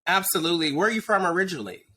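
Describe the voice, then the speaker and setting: A man's voice: high-pitched, animated, racing, sharp tone. A man in his thirties from Dallas hosting a casual interview show over a video call, teasing and gossipy in manner. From Dallas, Texas. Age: 30s. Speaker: podcast host.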